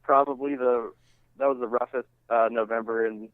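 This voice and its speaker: A man giving a casual radio interview as a musician, talking informally and haltingly, working out each thought as he goes. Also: mid-range voice, steady tone, no echo. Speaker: interviewed singer-songwriter and music teacher. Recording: thin phone-line sound.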